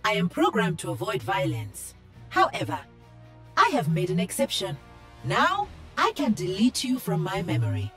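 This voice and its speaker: A woman's voice: medium pitch, wildly swooping pitch, moderate pace, a bit bright, faint music behind. A woman playing an earnest, naive guardian robot in a video game, precise and formal with a polite sternness. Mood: innocently stern.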